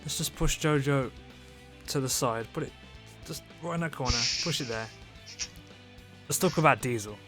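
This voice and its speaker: A man's voice: medium pitch, moderate pace, pauses often. A man hosting a podcast in casual chat, joking in a mock-earnest, sweary way. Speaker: podcast host. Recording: music playing behind the voice.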